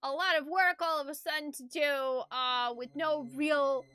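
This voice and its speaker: A woman's voice: sits high, fairly even intonation, a little slow, bright, forceful tone, dry recording. A woman streaming a game, chatting playfully and reading the story aloud with expression. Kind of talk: live stream chat mixed with expressive story narration.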